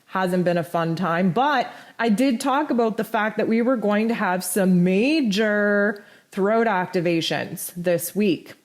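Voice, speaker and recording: Hoarse, blunt woman host. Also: a little slow. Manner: blunt, animated, pitch jumps high for emphasis. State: hoarse, nearly lost voice. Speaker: spiritual forecast host. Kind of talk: casual spoken forecast.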